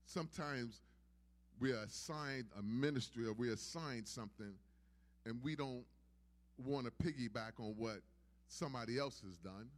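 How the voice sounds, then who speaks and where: A man's voice: mid-range voice, normal speed. A man preaching a sermon in an emphatic, repetitive preaching cadence. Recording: long hall reverb.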